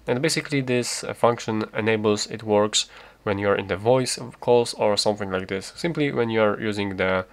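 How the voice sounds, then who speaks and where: Male tutorial host, fairly low pitch, spontaneous step-by-step walkthrough with halting phrasing.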